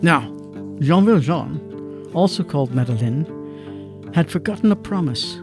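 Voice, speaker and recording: A woman's voice: low voice, lively intonation, unhurried, music in the background. A woman delivering scripted dramatic narration for a period story.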